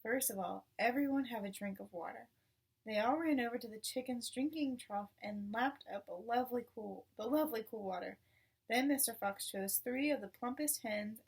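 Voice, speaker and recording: Woman doing a kids book read aloud, bit husky, expressive, mic sounds a little far off. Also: fairly high pitch, normal speed. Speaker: storybook reader.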